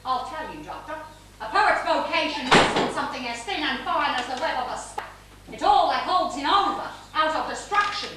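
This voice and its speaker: A woman's voice: high voice, animated, moderate pace. A woman playing a possessive, imperious older mother in an amateur stage play, insistent and declamatory. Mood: bitter, triumphant. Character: possessive, imperious older mother, bitterly devoted to her son. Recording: echoey theatre stage, distant mic, noise.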